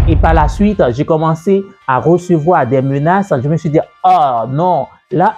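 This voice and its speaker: A man's voice: a little high, dark tone, husky. Man telling his business story. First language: French. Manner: conversational, repeats himself, many fillers. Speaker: entrepreneur and business coach. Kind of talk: personal story told to camera. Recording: dull, lo-fi sound with faint music.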